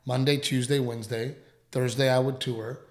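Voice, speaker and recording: A man co-hosting a casual podcast at home, talking in halting, rambling runs, with a lingering dry cough. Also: medium pitch, fairly even intonation, reverberant. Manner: rambling, halting, full of restarts. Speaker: podcast co-host, comedian. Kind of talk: casual chat.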